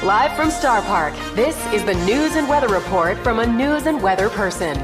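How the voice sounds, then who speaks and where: A woman's voice: on the high side, expressive, moderate pace, over music, natural voice. A woman playing a dramatic radio jingle announcer in an exaggerated station ident.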